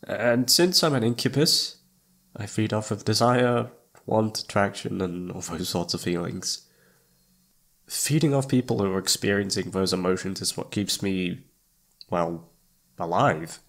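Man acting a shy, stammering young incubus, lowish voice. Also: unhurried, a bit bright. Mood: awkward, explaining. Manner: hesitant, stammering, awkward, trailing off. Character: shy, flustered young male incubus roommate, awkward and smitten. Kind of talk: ASMR roleplay audio drama.

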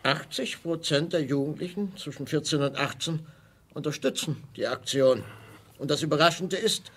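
Radio drama adviser: slightly high, husky voice, measured and factual. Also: male voice, a bit bright. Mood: matter-of-fact. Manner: matter-of-fact. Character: male government adviser, matter-of-fact, measured. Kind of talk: German radio drama dialogue.

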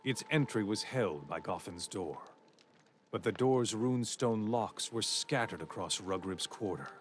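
A man narrating a fantasy game story in a grave, measured tone. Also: on the low side, lively intonation. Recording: music bed.

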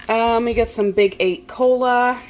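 A woman vlogger casually narrating a grocery haul in her home kitchen, relaxed and chatty with frequent "um"s. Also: on the high side, measured pace, slight background noise.

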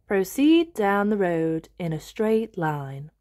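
A woman voicing a polite sat-nav machine from a storybook, announcing directions in a measured, deliberate way. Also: mid-range voice, very animated, darkish tone.

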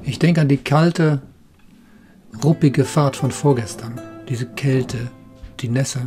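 A man's voice: medium pitch, slow, mellow. Middle-aged man narrating over music. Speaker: skipper narrating his sailing trip. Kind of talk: travel narration, partly scripted, partly spontaneous recollection. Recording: music behind the voice.

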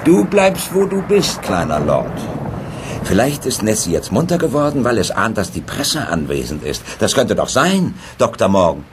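Cynical, deep-voiced press photographer. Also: male voice, very animated, moderate pace. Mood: mocking. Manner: sarcastic, flippant. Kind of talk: German radio play acting. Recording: boat engine noise behind.

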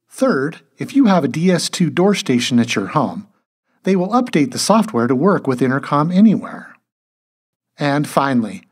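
Husky male tutorial narrator, lively intonation. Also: mid-range voice. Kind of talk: scripted instructional narration.

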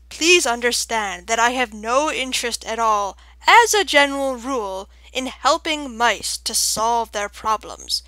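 Woman voicing a slow, sonorous, imperious owl. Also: bright, forceful tone, no echo, full character voice, low-quality recording. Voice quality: deep, round, sonorous. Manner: slow, formal, weighty delivery. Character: large, stern, imposing old owl, grave and formal. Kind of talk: audiobook character voice.

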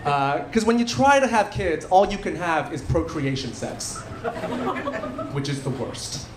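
Man in his thirties, stand-up comic, high lively voice, handheld mic, live club audience noise. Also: unhurried, low-quality recording. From Canada. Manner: animated, punchy delivery with dry asides. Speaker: stand-up comedian. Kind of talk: stand-up set. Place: theatre stage before a live audience. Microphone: handheld stage mic. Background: audience laughter.

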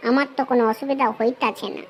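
Someone voicing a high-pitched cheeky cartoon schoolboy slacker. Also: fairly even intonation, fast talker, faint music behind, close to an everyday voice. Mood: excited, lecherous. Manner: brash slangy village-style banter. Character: cheeky, girl-crazy overage schoolboy slacker, male. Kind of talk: comedy cartoon dialogue.

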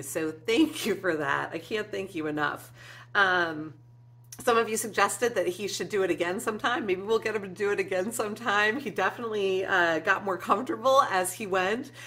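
A woman in her forties hosting her craft vlog, talking heartfelt and casual to viewers with lots of ums from a room at her desk. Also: mid-range voice. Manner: warm and emotional, frequent ums, gestures with hands. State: teary at moments. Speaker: craft channel host. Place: indoor craft room with shelves and flowers.

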